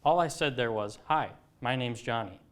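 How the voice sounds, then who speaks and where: A man narrating a student television news report in a clear, even delivery. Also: mid-range voice, normal speed.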